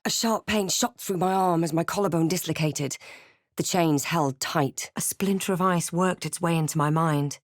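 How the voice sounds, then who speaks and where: Woman acting a measured, low-voiced fantasy adventuress. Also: no room sound, clean studio sound, close to an everyday voice. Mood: grave, reflective. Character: snarky, self-possessed female fantasy adventurer and spellcaster. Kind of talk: video game voice lines, narration and incantations.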